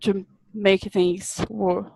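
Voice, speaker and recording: A young woman from Brazil, a business student, presenting a webinar in a halting way, often repeating words as she searches for them. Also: medium pitch, little pitch movement, vocal fry, a little mellow, husky, no room sound.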